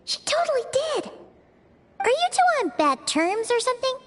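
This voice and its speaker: Little fairy game companion, squeaky, childlike, chatty, sky-high pitch, lively swings. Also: female voice, normal speed, noisy background. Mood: curious, frank. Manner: chatty, expressive, refers to self in third person. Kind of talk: video game voice acting. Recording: loud background music.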